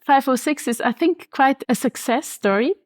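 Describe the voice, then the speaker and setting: Swiss woman banker in an interview, highish voice, dry close sound, calm and precise. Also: dry recording. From Switzerland. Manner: measured, precise, explanatory. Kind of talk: explaining finance in an interview.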